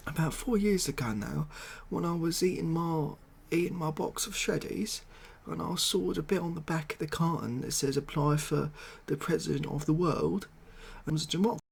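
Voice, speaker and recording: A man performs a dim but well-meaning President of the World with an English accent. The voice is breathy and husky, with a gravelly creak. He whines softly and hesitantly, pleading and repeating himself in an improvised ASMR comedy style. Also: on the high side, normal speed. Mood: bewildered, pleading. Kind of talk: ASMR comedy roleplay interview, soft improvised monologue.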